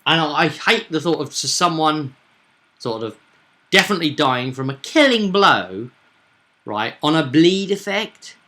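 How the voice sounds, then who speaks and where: A man's voice: on the high side, expressive, a little slow, noisy background. A man chatting casually as a game master, explaining a tabletop roleplaying game and often checking for agreement with tag questions.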